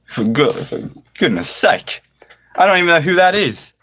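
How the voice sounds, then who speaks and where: A man's voice: on the high side, big pitch swings, normal speed, a bit bright. A man chatting casually to livestream viewers in an affected British-style accent, with mock-formal, flowery wording and a theatrical manner.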